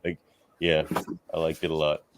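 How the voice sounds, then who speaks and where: A very deep-voiced man hosting a laid-back debate show. He talks loosely, tossing in quick asides and laughing between turns. The delivery stays relaxed and conversational. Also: noisy background. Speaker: podcast host.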